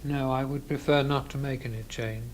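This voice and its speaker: An actor playing a withdrawn, stubborn copyist who answers in quiet, curt refusals. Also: male voice, mid-range voice, steady tone, moderate pace, slight background noise, close to an everyday voice. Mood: detached. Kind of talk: old radio drama acting.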